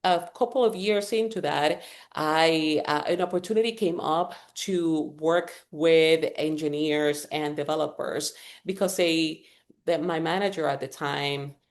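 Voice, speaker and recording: A woman's voice: deep voice, unhurried. A woman in her forties from Puerto Rico, a Spanish speaker with a Puerto Rican accent, talking as a podcast guest with plenty of fillers and restarts. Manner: rambling with many fillers and restarts. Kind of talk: conversational interview.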